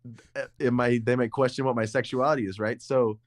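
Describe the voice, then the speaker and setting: A young man with a deep voice, co-hosting a casual podcast chat and explaining at length, with frequent restarts and repeated words. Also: steady tone, brisk, no echo, clean studio sound. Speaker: podcast co-host. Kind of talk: casual conversation.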